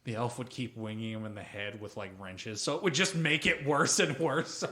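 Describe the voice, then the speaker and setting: Casual, animated man telling gaming stories. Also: medium pitch, moderate pace. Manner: animated storytelling, breaking into laughter, swearing. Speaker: podcast co-host. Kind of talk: casual chat about tabletop roleplaying.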